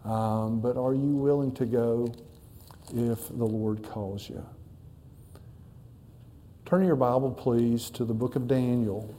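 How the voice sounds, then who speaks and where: A man's voice: medium pitch, fairly even intonation, slow pace, pauses often, dark tone, a little hiss or hum. A middle-aged man, a missionary pastor, talking to a church congregation in a folksy way with many fillers and restarts.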